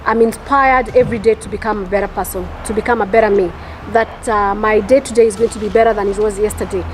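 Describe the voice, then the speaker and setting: A woman's voice: mid-range voice, fairly even intonation, fast, slight background noise, poor audio. A woman from Kenya, an entrepreneur, answering interview questions in an earnest, reflective way with repeated phrases. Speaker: entrepreneur, property developer.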